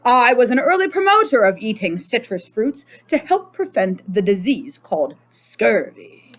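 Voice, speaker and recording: Storybook role: proud old gentleman inventor played by a woman, higher register, lively swings, theatrical self-praise. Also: normal speed. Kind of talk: children's picture book read-aloud.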